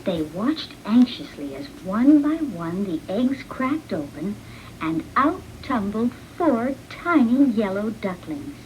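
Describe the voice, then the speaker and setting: A woman reading a children's storybook in an expressive, deliberate read-along manner. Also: medium pitch, noisy background. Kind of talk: scripted children's storybook narration.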